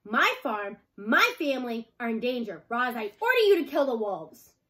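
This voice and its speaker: A woman's voice: fairly high pitch, sing-song, moderate pace. Woman acting a stern, bossy farmer. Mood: angry, yelling. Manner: loud, forceful, scolding. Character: stern, hot-tempered male farmer, father, bossy.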